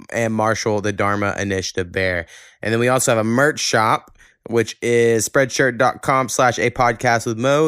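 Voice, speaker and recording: A man's voice: on the low side, fairly bright tone, clear, resonant tone, no echo, clean studio sound. An American man hosting a podcast in casual chat, with an informal, rambling, laid-back manner. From Oklahoma, United States. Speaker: podcast host.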